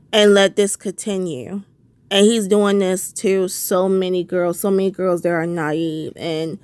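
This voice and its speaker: Stuffy, gravelly woman ranting. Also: lowish voice, no echo. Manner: heated, repetitive, rhetorical questions. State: stuffy from a cold. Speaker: vlogger. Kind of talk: casual ranting commentary.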